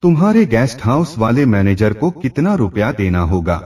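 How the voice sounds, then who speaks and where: A man's voice: fairly low pitch, sing-song, moderate pace, darkish tone, breathy edge, recorded from a distance. A man reading the part of a middle-aged married man, flirtatious but cautious, with probing questions, in a story narration. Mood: wary.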